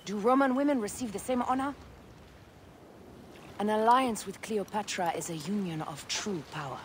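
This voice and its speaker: A woman's voice: mid-range voice, normal speed, close to an everyday voice. An actress playing a stern, determined envoy of an ancient queen in a video game, speaking firmly and formally. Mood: proud, formal. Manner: firm, formal. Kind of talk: dramatic video game acting. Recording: noisy, with boat sounds behind.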